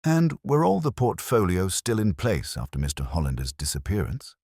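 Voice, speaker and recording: A man acts out a cool, probing male detective in a storybook, with a very deep voice. His formal, exacting speech swings noticeably in pitch, every question placed with deliberate precision. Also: wildly swooping pitch, darkish tone, breathy edge, no echo, clean studio sound, exaggerated voice. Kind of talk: audiobook character reading.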